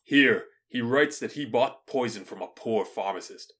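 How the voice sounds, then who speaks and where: A man playing a stern, commanding ruler passing judgment with deliberate authority in an audio drama. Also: medium pitch, fairly bright tone, husky. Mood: grave, commanding. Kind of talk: modernized Shakespeare audio drama acting.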